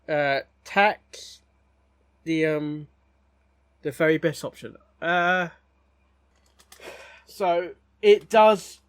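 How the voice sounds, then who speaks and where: A man giving casual, rambling reaction commentary as a host, haltingly, often repeating words and phrases. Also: fairly high pitch, no room sound.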